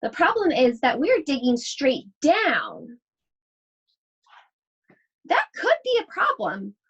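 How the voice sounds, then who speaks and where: A woman's voice: high-pitched, wildly swooping pitch, takes it slowly, dull audio, heavily acted. A woman reading the part of an earnest, matter-of-fact young boy on a digging adventure in a picture book.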